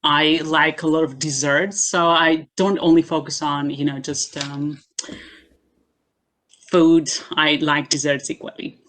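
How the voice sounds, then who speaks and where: A woman from Greece answers questions in a casual interview over a video call. Her voice sits low and deep, and she speaks in a measured way, pausing and hesitating often as she gathers her thoughts. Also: steady tone, fairly bright tone, slight background noise. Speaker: guest, assistant professor.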